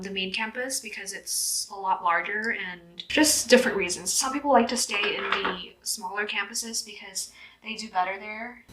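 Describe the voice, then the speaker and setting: A young woman from Vietnam in her twenties talks to her viewers in a loose, get-ready-with-me chat. Her voice is bright and clear. She laughs easily and sprinkles her talk with "like" and "um". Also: mid-range voice, poor audio. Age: 20s. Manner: chatty, laughs often, lots of "like" and "um". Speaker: YouTuber. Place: indoors at home in a city, doing makeup.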